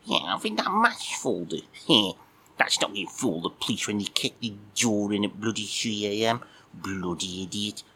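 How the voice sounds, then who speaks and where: A man's voice: on the low side, expressive, a little slow, pushed and bright, slight background noise, heavily acted. A man voicing a cheeky, crude, dim-witted male cat who rambles with mock innocence.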